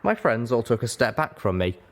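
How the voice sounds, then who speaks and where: A man reading scripted first-person fiction as an audiobook narrator, with a dramatic, expressive delivery. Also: medium pitch, fairly quick.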